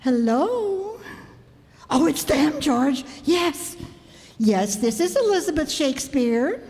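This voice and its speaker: Excitable housewife for a vintage radio sitcom, played by a woman: unhurried, high voice, melodramatic, recorded in a reverberant hall. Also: a little hiss or hum, exaggerated voice. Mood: giddy, frantic. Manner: theatrical, indignant, melodramatic. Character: excitable, stubborn, vain housewife with writing ambitions. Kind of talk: 1950s radio sitcom acting. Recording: echoey hall, stage performance.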